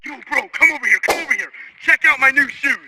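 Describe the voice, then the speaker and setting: A voice run through a pitch-shifting effect, chanting a meme skit. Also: animated, quick pace, noisy background. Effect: pitch-shifting voice changer.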